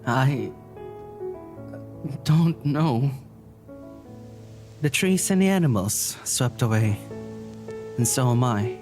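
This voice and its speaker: Audio drama lead: anxious, earnest poet, mid-range androgynous voice, halting delivery, music underneath. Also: medium pitch, animated. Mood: dreamy, awed. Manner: halting, stammering, poetic, earnest.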